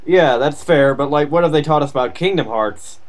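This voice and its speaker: A man's voice: on the high side, brisk. A man giving casual comedic commentary in an exasperated, sarcastic, sweary way. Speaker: co-host commenting on a reading. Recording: noisy amateur mic with clicks.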